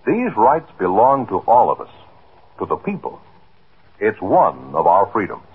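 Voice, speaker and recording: A man's voice: lowish voice, wildly swooping pitch, unhurried, breathy edge. A man delivering a scripted 1950s radio message in a folksy, explanatory way, talking straight to the listener. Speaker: public service announcer. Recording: muffled narrow-band 1950s radio recording.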